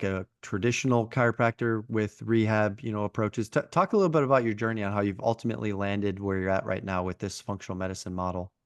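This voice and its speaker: A male podcast host with a lowish voice, recorded dry and close. He asks friendly questions, stumbling and restarting often. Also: no echo. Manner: friendly, with many fillers and restarts. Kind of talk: podcast interview.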